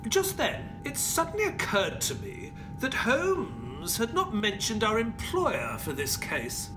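An actor playing an earnest, puzzled gentleman sidekick in an audio play, with a polite, hesitant manner. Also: high voice, wildly swooping pitch, slow pace, over music, heavily acted, rough recording. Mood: reflective. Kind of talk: audio play acting and storytelling.

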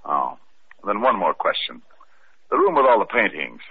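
A man's voice: deep voice, big pitch swings, normal speed, slightly rough. A man playing a wisecracking detective who narrates and questions witnesses in an old radio mystery. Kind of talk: 1940s radio acting. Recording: tinny old radio recording with faint hiss.

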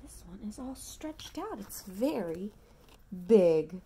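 A woman in her thirties reading a picture book aloud to a toddler at home, dramatically, pausing to ask simple questions. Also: mid-range voice, big pitch swings, mellow, slightly rough. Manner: dramatic, childlike questioning and prompting. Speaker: parent reading a bedtime story to a toddler. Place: small quiet room at home.

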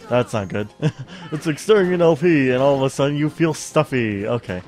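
A man's voice: mid-range voice, animated, brisk, a little mellow. A young man with a somewhat stuffy voice hosts a casual let's play, rambling and getting distracted, with lots of fillers and bits of humming. He is on a studio mic at home, with faint game music behind him. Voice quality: stuffy-sounding. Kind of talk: casual gameplay commentary.

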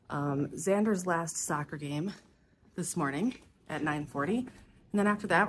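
A middle-aged mother talks casually into her phone as she drives and walks through a hotel and parking lot. Her voice sits a little low, and the phone picks up plenty of surrounding noise. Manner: chatty, a bit rushed while walking and carrying things. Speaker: vlogger, mother of teenagers. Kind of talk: casual on-camera chat. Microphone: phone held at arm's length. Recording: phone audio with clear background noise.

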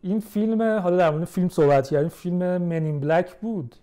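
Young male podcast guest, relaxed mellow timbre, slightly high pitch, explains things conversationally. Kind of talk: casual conversation.

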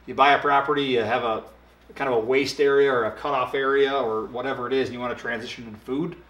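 A man in his thirties casually explaining a product in a dark studio, talking with lots of hand gestures and filler ums. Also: mid-range voice, steady tone, quick pace, highs cut off, slight background noise. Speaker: product expert. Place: dark studio set, indoors. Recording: roomy echo.

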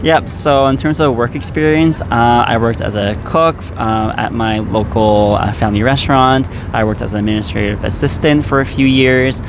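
A man's voice: mid-range voice, normal speed, hardly any pauses. Young man listing his background outdoors. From Canada. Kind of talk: interview answers. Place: outdoors on a city campus. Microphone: handheld clip mic. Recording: city traffic noise behind.

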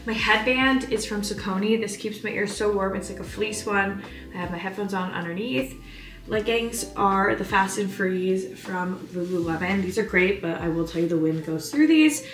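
A woman's voice: medium pitch, normal speed. A young woman in her twenties chatting upbeat to camera in a casual vlog, with lots of filler words. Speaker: fitness vlogger. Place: home kitchen and living room. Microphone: camera on counter, speaking from a few feet away. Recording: roomy apartment sound with music underneath.

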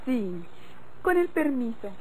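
Coy young Mexican ranch woman, deferential and slow-spoken, old radio drama, light hiss. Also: sits high, big pitch swings, heavily acted. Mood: polite. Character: Celia, coy polite young country woman. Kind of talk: golden-age Mexican radio drama acting. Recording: faintly noisy old radio recording.

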